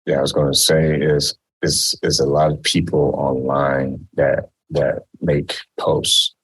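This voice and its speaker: A man hosting an interview in a relaxed, casual way, using everyday metaphors. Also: very deep voice, takes it slowly, husky, rough recording.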